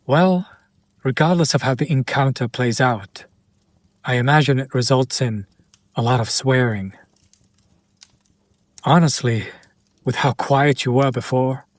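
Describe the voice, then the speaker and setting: A man playing a sardonic, teasing demon in an intimate roleplay audio drama, speaking deliberately and directly to the listener. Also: mid-range voice, dry recording, natural voice. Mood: amused, teasing. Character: male demon buyer, sardonic, teasing, curious about his captive.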